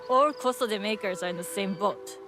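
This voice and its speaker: An actress playing a proud, practical kimono seller with a matter-of-fact sales manner in a video game. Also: female voice, medium pitch, normal speed, music in the background, lightly acted. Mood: proud, blunt. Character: proud, practical female kimono seller, blunt then obliging.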